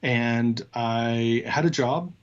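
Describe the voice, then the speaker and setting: A man co-hosting a casual tech discussion, talking in a halting way as he thinks aloud. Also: mid-range voice, clear, resonant tone, highs cut off. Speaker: co-host of a tech show.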